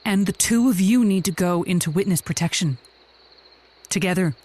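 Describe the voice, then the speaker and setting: A woman's voice: fairly low pitch, moderate pace, a little mellow, close to an everyday voice. An actress playing a folksy, scheming woman who hosts a lonely hearts radio show, with a theatrical, coaxing manner in a comedic audio drama. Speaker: radio call-in show host.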